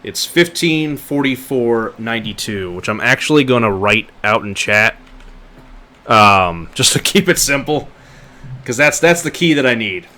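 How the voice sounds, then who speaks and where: A man's voice: mid-range voice, expressive, measured pace, pushed and bright. A man doing casual livestream gameplay commentary, narrating his moves and chatting to viewers. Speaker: game livestreamer.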